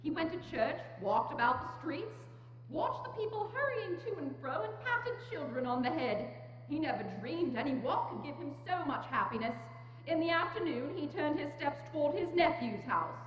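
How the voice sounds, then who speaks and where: A woman's voice: high-pitched, sing-song, normal speed, a little hiss or hum. A woman narrator reading a scripted story dramatically at a community performance. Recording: echoey church hall, muffled, humming.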